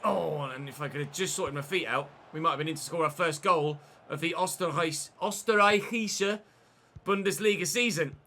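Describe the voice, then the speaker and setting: A young man commentating his football video game career, chatty and excitable, breaking into shouts when he scores. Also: a little high, normal speed. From England. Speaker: gaming YouTuber.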